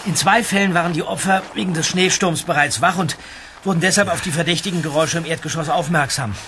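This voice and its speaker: Methodical boy detective, high-pitched, amid clear outdoor noise in a radio play. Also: fast talker, sharp tone. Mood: businesslike. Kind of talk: German audio drama acting. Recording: noisy outdoor ambience.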